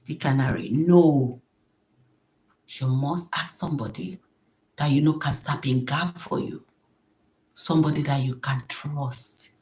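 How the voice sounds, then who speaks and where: A woman with a soft voice, earnestly telling an emotional personal story as a podcast host. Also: low voice, rough recording. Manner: earnest, emotional, acts out quoted speech.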